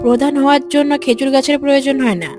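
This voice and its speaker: A woman voicing a sharp-tongued, practical village wife in a cartoon, chiding and no-nonsense. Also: high-pitched, fairly even intonation, very fast, over music, lightly acted. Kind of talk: cartoon voice acting.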